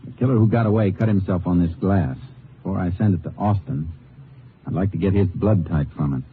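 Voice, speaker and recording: A man playing a grim, steady Texas Ranger in an old radio western, speaking tersely and matter-of-factly. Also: deep voice, brisk, lightly acted. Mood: grim, intent. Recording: tinny, noisy old radio recording.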